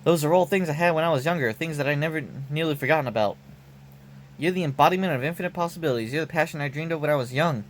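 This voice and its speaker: A man's voice: on the high side, rapid-fire, slight background noise, close to an everyday voice. A man voicing a legendary female swordmaster in game dialogue, speaking in a frank, earnest, folksy way. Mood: earnest, encouraging. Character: legendary swordswoman, cheerful, frank, encouraging gunslinger. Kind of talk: game dialogue read aloud. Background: background music.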